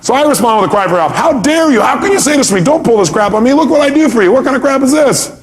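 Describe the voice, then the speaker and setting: High-pitched man giving an emphatic motivational talk. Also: expressive, very fast. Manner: emphatic, acts out quoted reactions, repeats key points. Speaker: motivational speaker leading a seminar. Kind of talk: motivational seminar talk with rhetorical questions to the audience.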